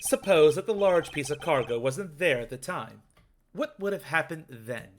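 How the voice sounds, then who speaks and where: A man's voice: on the high side, expressive, moderate pace, noisy background, close to an everyday voice. A man voicing a composed, logical prosecutor with a formal, theatrical delivery in a comedic game dub. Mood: composed, confident. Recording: game music under the voice. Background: game music.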